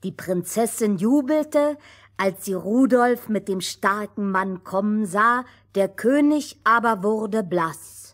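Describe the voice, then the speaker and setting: A woman's voice: medium pitch, expressive, slow. A woman playing an assured storyteller who narrates a fairy tale for children and corrects her partner. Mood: narrating.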